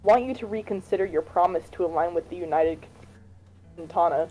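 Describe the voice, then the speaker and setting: A woman's voice: on the low side, fairly quick, narrow, tinny audio, close to an everyday voice. A woman voicing a firm, cautious cabinet minister in a fan reading of a political game, formal and insistent. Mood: firm, cautioning. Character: firm, cautious female cabinet minister defending state planning. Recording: music behind the voice.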